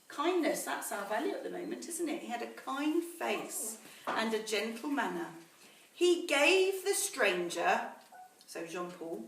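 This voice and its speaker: A woman reading a story aloud to children in a teacherly way, pausing to explain words and ask questions. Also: sits high, fairly bright tone. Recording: roomy echo, distant mic, faint noise.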